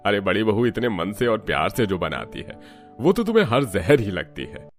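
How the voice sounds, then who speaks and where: A man voicing a protective older father-in-law who chides his wife in an animated family story. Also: fairly low pitch, normal speed. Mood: chiding. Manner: chiding, protective. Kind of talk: Hindi cartoon story dialogue. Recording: music behind the voice.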